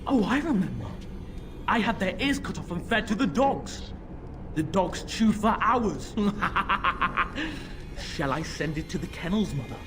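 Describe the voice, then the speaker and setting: A possessed boy in a video game who talks with cold, theatrical cruelty. His pitch leaps and sinks all over the place. Music plays clearly behind the voice. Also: big pitch swings, slow pace. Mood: gleeful, cruel.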